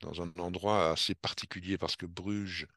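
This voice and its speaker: A man speaks in a very low voice, recorded close and dry. He tells his own story in a relaxed, explanatory way, as a podcast guest. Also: moderate pace, no echo. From Belgium. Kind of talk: conversational interview answer.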